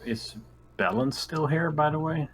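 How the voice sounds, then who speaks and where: A man's voice: a little high, unhurried. A man playing a tabletop roleplaying game, chatting casually in and out of character.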